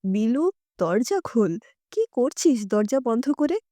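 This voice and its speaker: Story role: woman narrator as anxious, caring mother, urgent delivery, high mellow voice. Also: very animated, slow pace, no echo, studio quality. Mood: worried. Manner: worried, urgent. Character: anxious, tender mother of a grown son.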